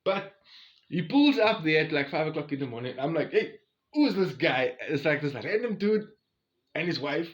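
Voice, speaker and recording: A forty-year-old South African man casually telling a personal story to his viewers in an expressive, chatty way. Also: fairly high pitch, moderate pace. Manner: expressive, chatty, acts out quoted exchanges. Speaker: vlogger.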